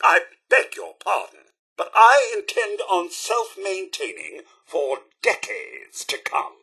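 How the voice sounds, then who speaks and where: Game companion: loyal, well-mannered robot butler, British accent, bright edge, slightly rough tone, formal and theatrical. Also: male voice, high-pitched, sing-song, takes it slowly, exaggerated voice. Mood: formal, gracious. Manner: prim, formal, theatrical enunciation. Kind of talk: scripted video game voice lines.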